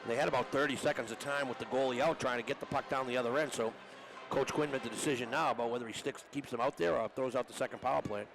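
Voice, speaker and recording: A man's voice: mid-range voice, steady tone, fast talker. A man giving conversational hockey analysis as a color commentator, explaining plays informally to his broadcast partner. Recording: noisy arena broadcast, rink ambience behind.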